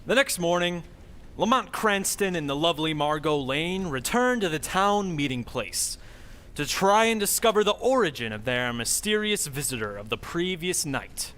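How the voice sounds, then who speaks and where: A man's voice: fairly high pitch, animated, normal speed, fairly bright tone. A man announcing and narrating in the style of an old-time radio show.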